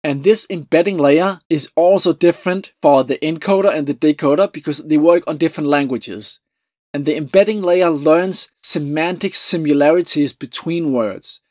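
Danish guy explaining code step by step, calm, dry close mic. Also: on the high side, normal speed, dry recording. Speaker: tutorial presenter. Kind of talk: screen-recorded coding lesson.

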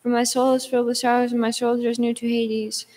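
A woman reading psalms aloud in a steady monotone, moving between English and Greek liturgical text, with a few small restarts. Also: fairly high pitch, a little fast.

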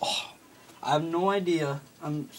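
Young American guy, halting, slightly noisy recording. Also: on the high side. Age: teens or young adult. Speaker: prank caller. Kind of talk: casual, improvised prank phone call. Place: small room at a desk, indoors. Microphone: camera phone at arm's length. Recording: noisy amateur phone video with clicks.

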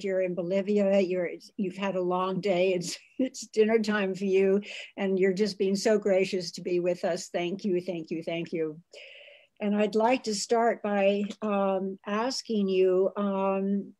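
Woman, middle-aged, host, video call, computer mic, home room, halting speech with many fillers. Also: mid-range voice. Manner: warm, many fillers and restarts, gushing thanks.

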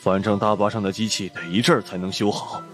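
A man voices a male village chief in an audiobook story. He sounds folksy, practical and concerned, in a lowish voice. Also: steady tone, fast, faint music behind, close to an everyday voice. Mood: decisive.

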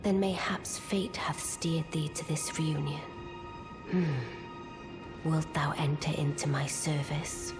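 A woman's voice: low voice, steady tone, slow pace. An actress playing an aloof, imperious witch in a video game, speaking in stately archaic English. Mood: aloof, composed. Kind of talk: scripted video game character dialogue. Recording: loud music behind the voice. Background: music.

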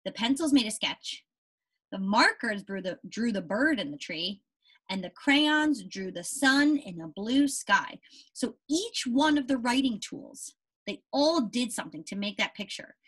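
A young woman teacher reading aloud to young children in an expressive, deliberate way and putting questions to them. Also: fairly high pitch, husky, low-quality recording. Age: young adult. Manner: animated, deliberate storybook reading with questions to the listeners.

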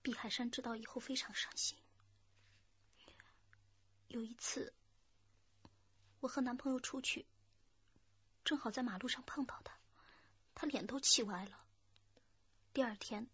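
High, husky young woman. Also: moderate pace, pauses often, a bit bright, highs cut off. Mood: wistful, recounting. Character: young female office worker, anxious, guarded, pleading lover. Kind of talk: radio drama acting.

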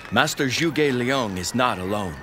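Game general: young, loyal, steady mid-pitched voice, noisy ambience with horses behind. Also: male voice, unhurried. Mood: earnest. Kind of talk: scripted video game cutscene acting.

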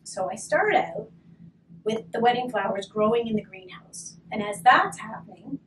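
Woman in her thirties, soft and slow children's reader, slightly high voice, caught a little off-mic. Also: lively intonation. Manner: soft, slow and gentle, often trailing to a whisper. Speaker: hospice volunteer reading to children. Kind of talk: gentle storybook reading and activity demo. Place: curtained room, indoors. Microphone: far camera. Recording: distant mic with faint room noise.

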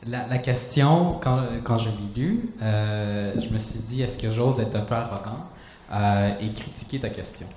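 A man's voice: fairly low pitch, unhurried, darkish tone. Spanish-native man musing in a roomy hall. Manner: searching, restarts phrases, gestures while explaining. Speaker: author on a panel. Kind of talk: reflective panel talk. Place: small hall stage with audience. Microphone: handheld mic. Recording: roomy hall sound, faint noise.

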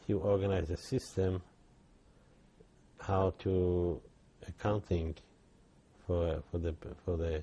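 An older man, giving halting oral history testimony with many restarts. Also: low voice, steady tone, warm tone. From Poland. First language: Yiddish. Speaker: interviewee.

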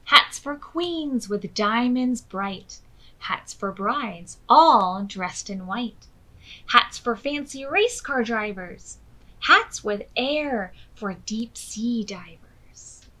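Animated American woman reading to preschoolers. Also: a little high, drawn-out words, rough recording. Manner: expressive, enunciated, addressing young children. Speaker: children's storytime host at a public library. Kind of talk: read-aloud storytelling for preschoolers. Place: small furnished room indoors.

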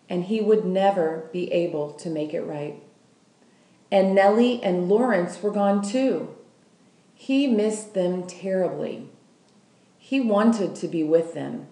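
Audiobook-style female narrator for a children's novel, unhurried and deliberate, mid-pitched, roomy echo. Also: a little mellow. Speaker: school staff member reading aloud. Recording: roomy, echoing room sound.

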